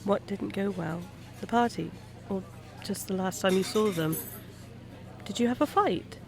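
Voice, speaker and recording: A woman acting in an intimate audio roleplay as a kind, gently teasing private eye, talking softly-concerned and conversational to a stranger. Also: medium pitch, measured pace, gravelly, over music. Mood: gently probing. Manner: soft-hearted, hesitant, questioning, playful asides.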